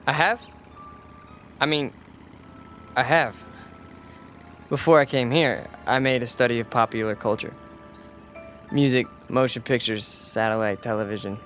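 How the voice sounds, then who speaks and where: Male actor for radio drama: awkward young newcomer, unhurried halting delivery, stilted hipster slang, light music bed. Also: medium pitch, steady tone, a bit bright, close to an everyday voice. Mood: earnest, stilted. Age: young adult. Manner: halting, stilted, swinging from professorial wording to beatnik slang. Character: awkward young leather-jacketed newcomer, stiffly faking hip slang. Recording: music bed and engine sounds behind.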